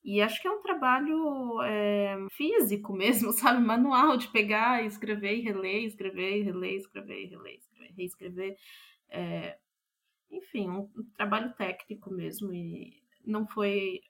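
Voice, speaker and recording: A woman's voice: mid-range voice, moderate pace, fairly bright tone. A Brazilian woman speaking as an interviewed playwright, reflective and thoughtful, often searching for the right word.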